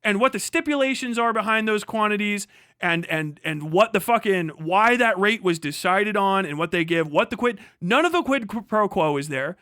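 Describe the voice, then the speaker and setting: A man with a high, bright voice delivers an off-the-cuff commentary rant. He is indignant and profane, hammering points home through repetition. The sound is close and dry, like a streamer's microphone. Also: lively intonation, moderate pace, smooth, no room sound. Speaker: livestream commentator. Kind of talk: unscripted reaction rant.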